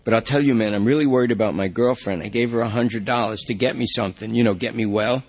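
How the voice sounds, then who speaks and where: A man's voice: on the low side, fast, a little mellow. A man voicing a jittery, rambling male junkie under arrest, whiny and pleading.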